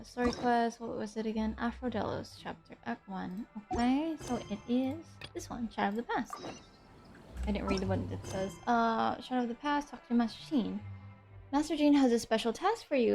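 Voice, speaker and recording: A woman's voice: fairly high pitch, gravelly. Giggly woman streamer chatting over game music. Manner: chatty, giggly, reacting aloud. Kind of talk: casual live stream commentary.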